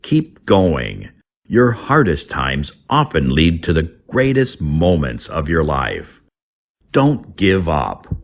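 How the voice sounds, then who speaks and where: A man reading a scripted English lesson aloud as a teacher, deliberately, word by word. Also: rumbling low, wildly swooping pitch, gravelly, highs cut off. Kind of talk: scripted lesson narration, slow read-aloud.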